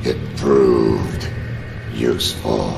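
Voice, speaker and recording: An actor playing a sinister, sly mercenary agent in a game, with a gravelly, halting drawl. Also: male voice, a bass voice, exaggerated voice. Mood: sly menace. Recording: music behind the voice.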